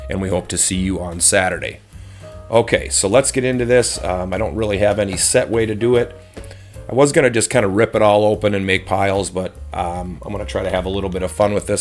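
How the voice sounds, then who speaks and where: A man with a slightly hoarse voice casually chatting as he opens card packs on his channel, relaxed and rambling with frequent ums. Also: on the low side. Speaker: host of a card-opening channel. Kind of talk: casual chat while opening card packs.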